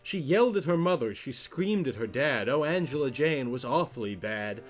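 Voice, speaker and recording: A man's voice: medium pitch, lively intonation, normal speed. Theatrical male storyteller over music. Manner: theatrical, rhythmic, emphatic. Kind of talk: dramatic scripted narration of rhyming children's poem.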